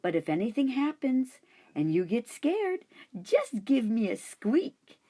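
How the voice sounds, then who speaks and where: A woman voicing a caring young girl who speaks high, soft and tearful in a storybook reading. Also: very animated, slow, slightly rough, full character voice. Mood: pleading.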